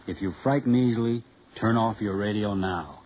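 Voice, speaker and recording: A man hosting a 1940s radio horror show, calmly and gravely warning listeners about the stories ahead. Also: lowish voice, normal speed. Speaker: host. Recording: muffled 1940s radio with music behind.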